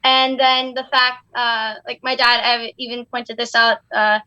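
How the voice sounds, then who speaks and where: A young woman with a high voice rambles her way through an argument, full of fillers and restarts. The sound is dry and close, but a little dull and lo-fi. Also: little pitch movement, unhurried, pushed and bright, dry recording. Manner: rambling, hesitant, many fillers. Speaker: guest co-host, daughter of the guest. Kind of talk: casual chat.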